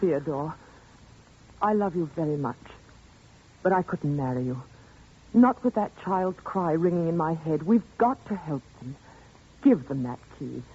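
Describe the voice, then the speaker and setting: An actress playing a gentle young governess in an old-time radio drama, soothing and coaxing. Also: female voice, sits low, animated, measured pace, pauses often, husky, full character voice. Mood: earnest. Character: gentle young governess, kind but firm. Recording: thin phone-like radio recording.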